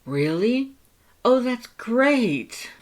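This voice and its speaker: A performer voicing an excitable, earnest male skeleton with a warm heart and emphatic, theatrical delivery, in a fan fiction audiobook. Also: medium pitch, drawn-out words, voice pushed up. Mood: relieved delight.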